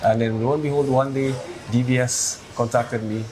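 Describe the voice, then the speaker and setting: A man in his twenties, a singer and reporter, answering interview questions in a measured, reflective and earnest way. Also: medium pitch, steady tone. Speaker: guest vocalist and reporter. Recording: noisy background hiss.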